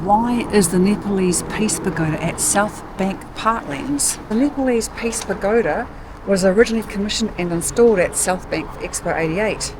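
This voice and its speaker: A woman's voice: mid-range voice, moderate pace. A woman with a New Zealand accent, filming a walking tour vlog and sharing facts in a chatty voice that is easily impressed. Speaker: travel vlogger. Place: outdoors in a city at night, by a river. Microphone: action camera. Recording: outdoor city noise in the background. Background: city street and traffic sounds.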